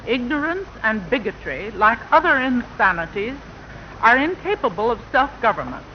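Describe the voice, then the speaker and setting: Emphatic woman orator with a swooping delivery. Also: fairly high pitch. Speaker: council chair, guest speaker. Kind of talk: formal scripted radio speech. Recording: muffled, noisy 1940s radio recording.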